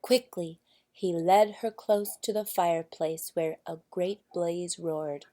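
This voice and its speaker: Slow-paced woman reading a storybook. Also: on the low side, steady tone, warm tone. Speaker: storybook reader. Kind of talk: expressive scripted children's story narration.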